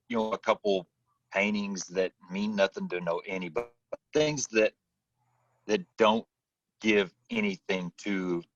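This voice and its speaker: A man hosting a podcast in casual conversation, halting and repeating words for emphasis, recorded on a distant, dull microphone. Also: on the low side, a bit bright. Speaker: podcast host, firefighter.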